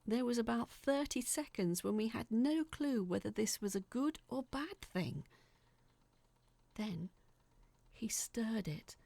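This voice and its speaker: A woman reading a scripted first-person story as narrator, in a measured, dramatic storytelling manner. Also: fairly high pitch, vocal fry, warm tone.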